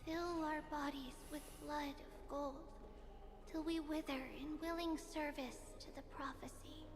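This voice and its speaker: Reverberant, high-pitched young priestess, solemn, reverent, ceremonial game prayer, music behind. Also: female voice, steady tone, unhurried. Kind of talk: video game voice acting. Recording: long hall reverb.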